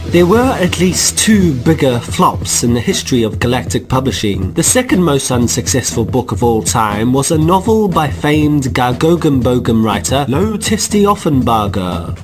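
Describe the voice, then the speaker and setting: A man giving wry, deadpan, mock-formal comic narration for a science-fiction radio play. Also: medium pitch, normal speed, few pauses. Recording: music behind narration.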